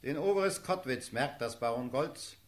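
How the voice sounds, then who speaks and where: An actor playing a formal field marshal in a classical radio drama, dictating battle orders in a ceremonious, declamatory way. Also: male voice, medium pitch, lively intonation, measured pace, lightly acted. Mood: commanding.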